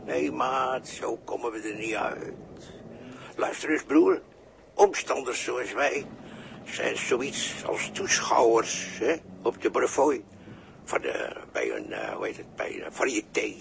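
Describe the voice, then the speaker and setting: An actor playing a folksy, evasive, callously matter-of-fact bystander in a halting, colloquial 1960s radio drama style. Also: male voice, mid-range voice, sing-song, gravelly, heavily acted. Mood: jovial, evasive. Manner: halting, colloquial, with tags and repeats. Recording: noisy early 1960s radio recording.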